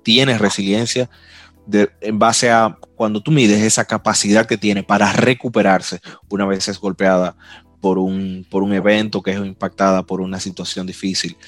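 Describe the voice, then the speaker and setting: A man's voice: deep voice, no echo. A man speaking as a guest expert on a panel, explaining his ideas in a direct, plain way. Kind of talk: casual panel discussion.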